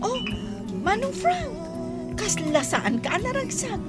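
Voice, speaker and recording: A woman's voice: very high-pitched, huge pitch swings, slow pace, heavily acted. A woman playing a sweet young Filipino village daughter with an Ilocano accent in a radio drama. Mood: curious. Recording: music playing behind the voice.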